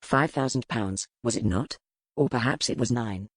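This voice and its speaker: A man's voice: mid-range voice, normal speed, breathy edge, no room sound, studio quality. Man playing an arrogant, menacing aristocratic rake. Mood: mocking menace. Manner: pompous, formal, insinuating. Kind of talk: historical romance audiobook character dialogue.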